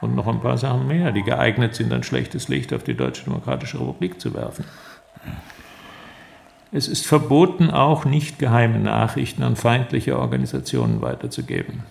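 An actor playing a cold, pedantic East German interrogator, officious and deliberate, in a radio play. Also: male voice, medium pitch, big pitch swings, vocal fry, darkish tone. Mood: cold, menacing.

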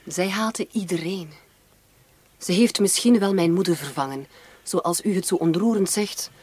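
An actress playing a bitter, defiant young woman in a radio play, speaking curtly and sarcastically with a husky voice. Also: lowish voice, measured pace, a little mellow. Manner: curt, sarcastic.

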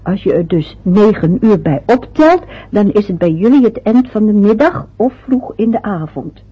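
Nervy older mum, breathy and husky, chatters on and mutters to herself, old muffled radio play sound. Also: female voice, mid-range voice, animated, moderate pace. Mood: fretful. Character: fussy anxious older mother. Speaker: mother. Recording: dull lo-fi old radio recording.

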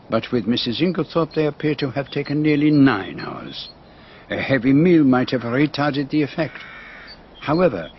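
An actor playing a fussy, precise older detective with a Belgian French accent, speaking formally and deliberately in a radio drama. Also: male voice, medium pitch, slight background noise. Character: fussy, precise, courteous foreign male detective. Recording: muffled lo-fi broadcast radio recording.

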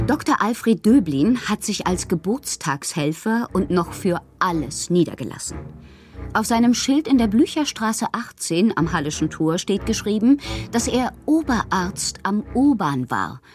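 A woman playing a moody, fanciful bohemian poet in a radio drama, reading her confessional letters aloud with expressive, theatrical, poetic phrasing. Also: medium pitch. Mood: wry, mischievous.

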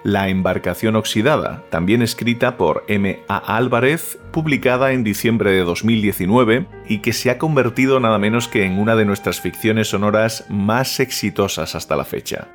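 A man's voice: on the low side, moderate pace. A man with an accent from Spain, giving a polished, formal scripted introduction as a podcast host. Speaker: host and producer of a horror audio fiction podcast. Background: faint music.

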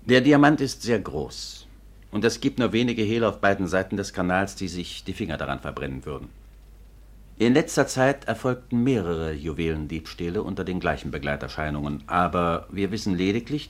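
An actor playing a courteous, businesslike police detective in a 1950s radio drama, speaking formally and matter-of-factly. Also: male voice, low-pitched, expressive. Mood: businesslike, explanatory. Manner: measured, matter-of-fact, formal. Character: courteous, businesslike male Scotland Yard detective.

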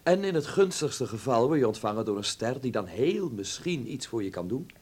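An actor playing a cheeky, defiant young would-be film actor in a radio drama, with a flippant, sardonic manner. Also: male voice, mid-range voice, big pitch swings, normal speed, darkish tone, breathy edge. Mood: wistful, guarded. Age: young adult. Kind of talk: Dutch radio drama acting.

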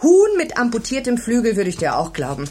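An actress playing a teacher's skeptical, wry wife in a German radio drama, with a dry, questioning manner. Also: female voice, fairly high pitch, huge pitch swings, brisk, darkish tone, slight background noise.

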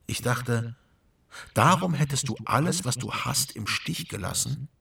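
An actor playing an ardent Prussian officer recalling his wartime youth in a radio play, husky and dramatic in delivery. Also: mid-range voice, lively intonation, unhurried, sharp tone, far from the mic, exaggerated voice. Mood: recollecting, indignant. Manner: dramatic, acting out quoted exchanges.

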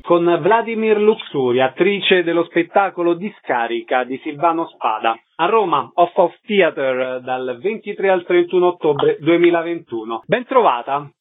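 A man's voice: a little high, lively intonation, moderate pace, hardly any pauses, faint music behind. A man hosting on the radio, giving announcements and asking interview questions. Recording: narrow phone-like radio sound.

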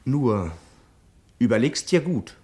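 A man's voice: mid-range voice, animated, slow pace, a little mellow. An actor playing a skeptical, cautious male friend in a radio drama, pressing with urgent questions. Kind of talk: 1950s-style radio drama acting.

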